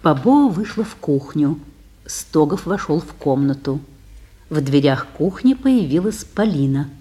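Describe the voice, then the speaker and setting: A woman's voice: deep voice, animated, measured pace, mellow, slightly rough. A woman narrator reading stage directions for a radio broadcast of a play.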